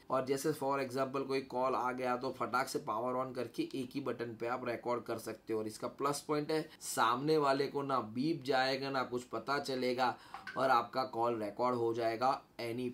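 A man with an Indian accent and a mid-pitched voice presents a product in a casual reviewer style. He talks informally, slipping between Hindi and English phrases as he demonstrates and sells. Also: fairly bright tone. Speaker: gadget reviewer and seller.

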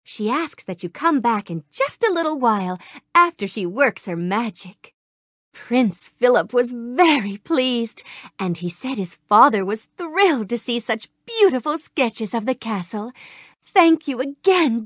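A gentle, gracious young princess from a game, with a soft, sweet and refined tone. Her voice sits a little high and moves through a lively, sing-song melody. The close studio recording is dry, with no room around her. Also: measured pace, no echo. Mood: grateful. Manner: polite, gracious, warmly grateful. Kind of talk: game voice clips. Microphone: close studio mic.

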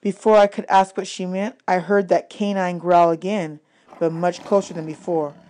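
A woman reading a children's adventure novel aloud as an expressive audiobook narrator, in scripted first-person narration. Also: on the low side, moderate pace.

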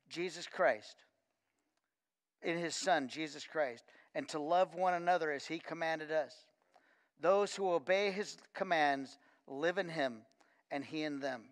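Older male pastor, high voice, earnest sermon, repeats for emphasis, reverberant sanctuary. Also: fairly bright tone. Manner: earnest, repeats words when stressing a point. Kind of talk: sermon with scripture reading. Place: church stage with lectern. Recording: church hall reverb.

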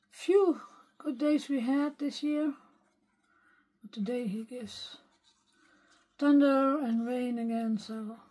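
A Dutch woman speaking English, her voice weak and partly gone, softly thinking aloud as she works through a craft tutorial at her desk. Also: high-pitched, low-quality recording. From Netherlands. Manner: soft-spoken, unhurried, talks to herself while working. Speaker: craft video maker. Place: craft desk at home, filmed from overhead.

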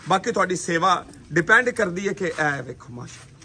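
High, bright-voiced cattle seller. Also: male voice, lively intonation, a little hiss or hum. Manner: brisk, persuasive seller's patter, repeating prices and praise. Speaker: livestock sale video host. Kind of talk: casual sales commentary. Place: outdoor dairy farmyard.

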